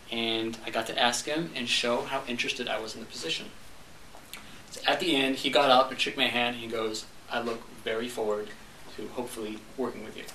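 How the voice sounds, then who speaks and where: A man's voice: medium pitch, noisy background. Young man telling a story in an echoey room. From Montreal, Canada. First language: French. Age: young adult. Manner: storytelling, acting out dialogue. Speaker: club speaker. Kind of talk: humorous speech. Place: club meeting room. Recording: echoey room, distant mic.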